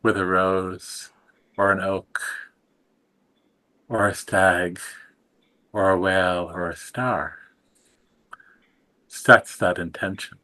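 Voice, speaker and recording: A middle-aged man speaking slowly and softly in a reflective, contemplative conversation, his voice trailing off at the ends of phrases. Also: sits low, steady tone, rough recording. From United States. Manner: slow, soft and contemplative, trailing off quietly. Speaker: guest teacher.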